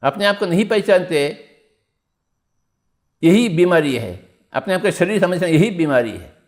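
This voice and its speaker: A man's voice: sits high, animated, a little slow. A man teaching meditation in an exhorting spiritual discourse, repeating his phrases and posing rhetorical questions.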